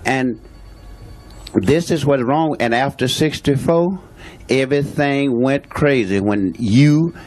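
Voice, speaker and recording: A man's voice: mid-range voice, takes it slowly, darkish tone, a little hiss or hum. A man, speaking bluntly and emphatically as a guest on a talk show and repeating his points. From Louisiana, USA. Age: 60s. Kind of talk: impassioned talk show statement.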